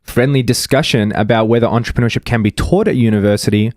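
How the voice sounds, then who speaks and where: A man hosting a casual podcast interview, friendly and chatty, often pausing on fillers. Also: medium pitch, darkish tone, dry recording, clean studio sound. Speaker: podcast host.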